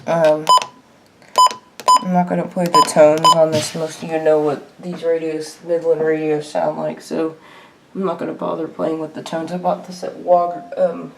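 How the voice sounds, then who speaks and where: A woman giving a casual, unscripted show-and-tell at home in a halting way, as a hobbyist vlogger. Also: low-pitched, little pitch movement, vocal fry. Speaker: weather radio hobbyist vlogger. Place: indoor room at home. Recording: noisy homemade video with clicks.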